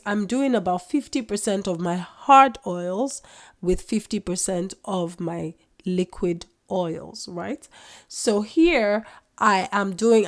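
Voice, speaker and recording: Woman host, has a cold, mid-pitched, chatty and self-correcting. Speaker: skincare maker, channel host. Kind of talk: casual tutorial vlog.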